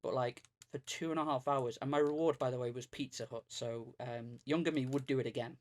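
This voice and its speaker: A man's voice: medium pitch, steady tone, a little fast, darkish tone. Rambling self-deprecating man. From Greater Manchester, England. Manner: rambling, self-deprecating, many fillers and restarts. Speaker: streamer guest. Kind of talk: casual podcast chat.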